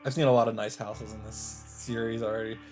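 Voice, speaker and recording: A man's voice: fairly low pitch, flat delivery, brisk, a little mellow. A man reading a visual novel's narration aloud as a let's player. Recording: music playing behind the voice. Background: game background music.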